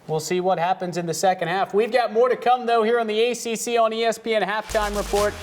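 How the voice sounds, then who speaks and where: High-voiced young American male sportscaster, noisy. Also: steady tone, quick pace. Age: young adult. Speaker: play-by-play announcer and sports show host. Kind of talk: sports broadcast commentary.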